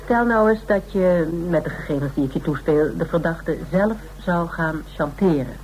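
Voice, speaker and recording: Blunt, low-voiced private detective. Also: female voice, lively intonation, moderate pace, darkish tone, rough recording. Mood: cool, insistent. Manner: direct, businesslike, insistent. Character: Tera, blunt, probing, unflappable female private detective. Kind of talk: naturalistic Dutch radio play acting. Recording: radio play recording with faint noise.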